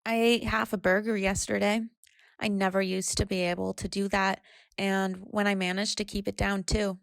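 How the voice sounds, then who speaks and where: An actress as a troubled young woman in her twenties in an audio drama. Her voice is creaky and recorded dry and close, at an ordinary pitch. She speaks candidly and quietly, as if confessing. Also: flat delivery, normal speed, no echo, close to an everyday voice. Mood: subdued, vulnerable. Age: 20s. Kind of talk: audio drama monologue and dialogue.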